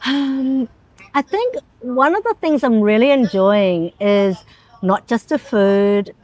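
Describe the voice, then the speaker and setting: A woman's voice: a little high, lively intonation, slow pace, poor audio. Woman fitness coach, eager and agreeable, casual interview in a small studio. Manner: warm, eager, agreeable, with many restarts and frequent yeahs.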